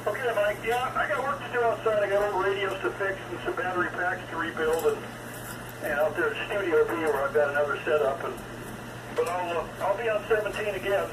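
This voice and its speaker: An older man chatting casually and in a folksy, rambling way over a single sideband ham radio link. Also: sits high. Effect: shortwave single sideband radio. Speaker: amateur radio operator. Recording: noisy, echoey, distant single sideband radio reception.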